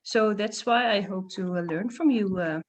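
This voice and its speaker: A woman introduces herself as a nurse over a video call. She speaks plainly at a middle pitch, with the occasional filler. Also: moderate pace, slight background noise. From Netherlands. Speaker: ICU nurse.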